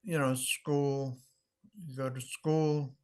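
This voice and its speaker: A man in his sixties, a guest in an interview, telling stories in a measured, reflective way. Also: mid-range voice, flat delivery. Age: 60s. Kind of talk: casual interview conversation.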